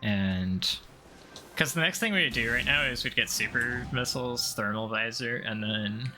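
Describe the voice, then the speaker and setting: A man's voice: mid-range voice, normal speed, pushed and bright, soft background music, rough recording. A man giving casual live commentary as he plays a video game, rambling and thinking aloud in a blunt, informal way. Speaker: streamer doing a game playthrough.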